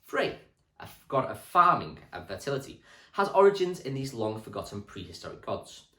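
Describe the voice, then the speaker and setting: A man, a primary school teacher, reading a children's history book aloud with clear, expressive storytelling for children. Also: medium pitch, normal speed. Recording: echoey room.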